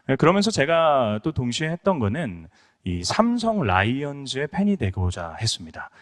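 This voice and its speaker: Earnest pastor, lively pitch. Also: male voice. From Incheon, South Korea. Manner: earnest, storytelling with many fillers. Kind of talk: conference lecture. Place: conference hall.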